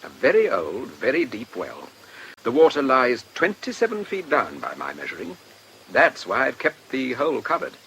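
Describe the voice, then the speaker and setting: An actor playing a polite, precise, secretly troubled English gentleman in a radio drama, courteous and a little hesitant. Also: mid-range voice, wildly swooping pitch, moderate pace, gravelly, a little hiss or hum, exaggerated voice, low-quality recording. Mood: courteous, measured.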